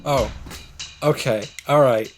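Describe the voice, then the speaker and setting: A man's voice: medium pitch, slow, music in the background. A man reading visual novel narration aloud as a let's play host, with casual asides.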